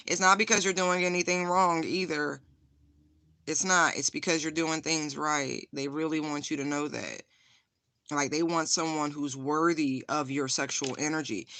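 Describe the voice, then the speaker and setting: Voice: medium pitch, fairly even intonation, normal speed, sharp tone. A tarot reader giving a casual spiritual reading, frank and profane, laughing often and repeating phrases for emphasis.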